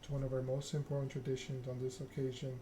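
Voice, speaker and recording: A man plays a stern vampire prince, a woman ruler in a story game. The delivery is formal and deliberate, held very level, over a noisy background. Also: medium pitch, monotone, natural voice.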